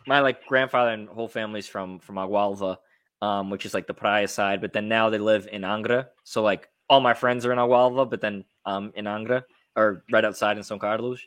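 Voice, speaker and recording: Bright-voiced man hosting a football podcast. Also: lowish voice, steady tone, brisk. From United States. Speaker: sports podcast host. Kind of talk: casual football fan discussion.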